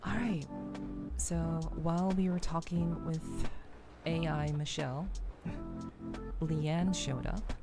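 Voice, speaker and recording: A woman streamer giving reflective, casual commentary to viewers, deliberate and emphatic, often repeating phrases for weight. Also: low voice. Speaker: streamer hosting a game playthrough. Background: music.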